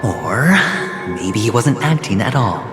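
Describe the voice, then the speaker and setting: An actor playing a weary, guarded young gambler in a video game, speaking in a subdued, measured and terse way. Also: male voice, on the low side, sing-song. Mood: cool, pensive. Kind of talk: restrained video game voice acting. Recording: echoing, with music behind.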